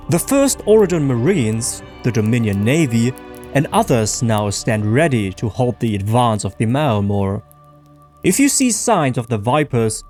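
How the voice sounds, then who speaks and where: A man reading fantasy lore books as scripted audiobook-style narration, in a measured, expressive storytelling manner. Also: mid-range voice. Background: music behind the voice.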